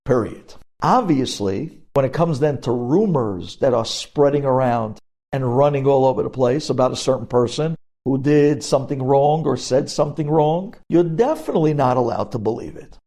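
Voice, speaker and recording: A man's voice: medium pitch, lively intonation, normal speed, warm tone. A man giving a religious lesson as a rabbi, explaining emphatically and posing questions that he then answers. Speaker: rabbi teaching religious law.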